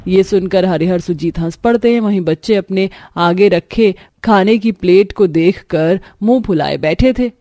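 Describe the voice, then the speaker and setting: A woman's voice: mid-range voice, moderate pace, hardly any pauses, warm tone, dry recording. A woman giving scripted narration for a cartoon family story.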